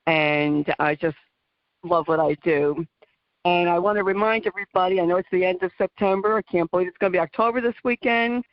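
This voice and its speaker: A woman's voice: on the low side, brisk, no room sound. A woman from New Jersey with a New Jersey accent hosting a radio talk show, effusive and chatty, with many fillers. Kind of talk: casual interview chat. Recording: muffled dry radio audio.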